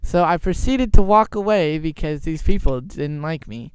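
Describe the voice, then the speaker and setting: A young man telling an improvised story in a halting, informal way. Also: a little high, lively intonation, clean tone, no room sound, poor audio. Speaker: storyteller, show host.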